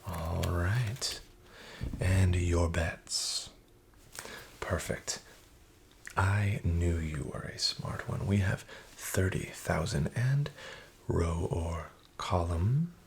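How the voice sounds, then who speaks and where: A man playing a smooth-talking, falsely friendly casino dealer, soft-spoken and half-whispered. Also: low voice, very slow, full character voice. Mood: sly coaxing. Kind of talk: soft-spoken ASMR roleplay. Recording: audible background noise with card shuffling.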